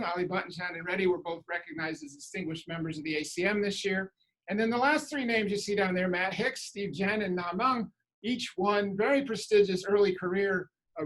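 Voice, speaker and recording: A man hosting an awards ceremony over a video call, speaking informally with halting, hesitant phrasing. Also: sits high, fairly bright tone, rough recording. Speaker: academic department head hosting awards. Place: indoors, virtual event on video call.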